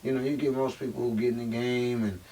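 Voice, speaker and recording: A man's voice: mid-range voice, level tone, quick pace, dark tone. A man hosting a podcast, chatting casually and encouraging his guests. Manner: encouraging, with lots of agreeing interjections. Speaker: podcast host. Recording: distant mic with faint hiss.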